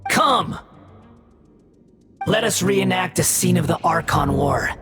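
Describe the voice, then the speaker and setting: A man's voice: high voice, lively intonation, slow, a bit bright, soft background music, exaggerated voice. A man playing an arrogant young puppet turned god, a mocking and theatrical video game villain. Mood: mocking, menacing. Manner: haughty, theatrical, contemptuous.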